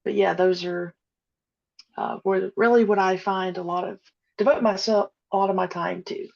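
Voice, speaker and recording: A young woman with a gravelly, fry-heavy voice chats easily as a podcast guest, laughing often and restarting her sentences. Her sound is dull and lo-fi, with the high end cut off. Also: fairly even intonation, normal speed, darkish tone. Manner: easygoing, many fillers and restarts, giggly. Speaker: podcast guest, audio drama creator. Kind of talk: casual interview chat.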